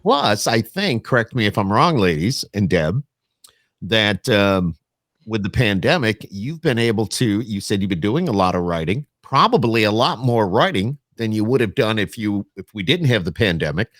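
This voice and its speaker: A man's voice: fairly low pitch, sing-song, moderate pace, a bit bright, no echo, clean studio sound. A man hosting a casual remote interview, talking in an informal, rambling and self-correcting way. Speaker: podcast host, radio interviewer.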